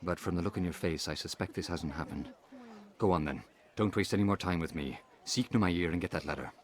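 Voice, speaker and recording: An actor playing a gruff, impatient, intimidating master giving orders in a video game. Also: male voice, deep voice, brisk, lightly acted.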